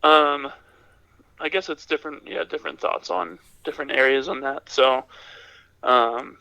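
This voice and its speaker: A man with a raspy voice from being sick, chatting casually and hesitantly as a podcast guest, with dry, self-deprecating humor. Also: a little high, a little slow, sharp tone, dry recording, dull audio. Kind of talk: casual chat, reflective.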